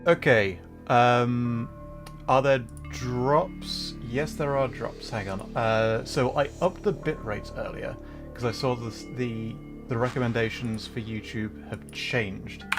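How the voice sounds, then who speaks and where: A man's voice: medium pitch, steady tone, slow pace. A man streaming a game, chatting casually, rambling and apologetic about technical setup. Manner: rambling, self-deprecating, apologetic. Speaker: VTuber streamer. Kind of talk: casual livestream chat. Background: background music.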